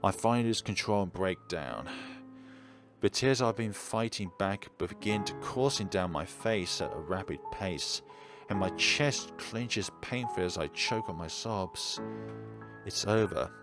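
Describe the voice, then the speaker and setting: Man playing an anguished, low-voiced schoolboy. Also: measured pace, over music. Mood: grieving. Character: anguished, self-blaming teenage schoolboy, pleading and insecure.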